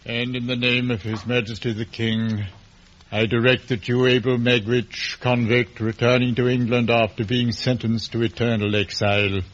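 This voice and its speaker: An actor playing a stern judge with a formal, measured, solemn delivery in a 1950s radio drama. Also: male voice, medium pitch, little pitch movement, slight background noise, lightly acted. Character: stern, solemn male judge passing sentence. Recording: dull, lo-fi old radio recording.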